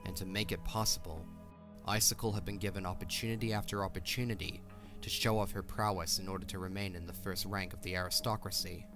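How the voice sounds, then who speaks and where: A man's voice: deep voice, fairly even intonation, quick pace, music in the background. A man narrating a fantasy audio drama as a scripted storyteller.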